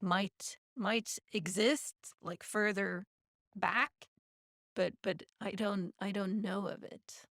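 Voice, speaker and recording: A woman's voice: mid-range voice, steady tone, slow, a bit bright, dry recording, studio quality. A woman hosting a podcast interview, speaking reflectively and adding short, attentive responses. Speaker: podcast host. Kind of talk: interview conversation.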